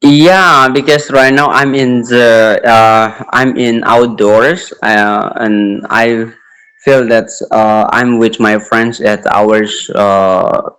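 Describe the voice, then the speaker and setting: A man's voice: medium pitch, fairly even intonation, takes it slowly, a bit bright, clear, resonant tone, rough recording. A man in his late twenties with an Indonesian accent, chatting casually as an English learner and hesitantly searching for words. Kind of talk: casual practice chat with a stranger.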